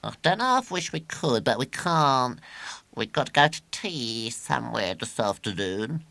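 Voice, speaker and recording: A cautious, sardonic street-gang boy, played by a man in a children's audiobook. The voice is adenoidal and gravelly, with lively rises and falls. He sounds glum and regretful. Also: medium pitch, measured pace, no echo, voice pushed up, heavily acted. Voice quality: adenoidal, nasal.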